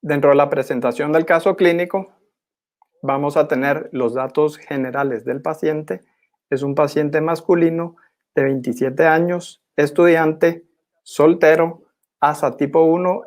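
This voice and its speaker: A young man gives a formal academic presentation in a measured, didactic manner as a postgraduate student. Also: fairly high pitch, a little mellow. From Guatemala. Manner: measured, didactic, clearly enumerating.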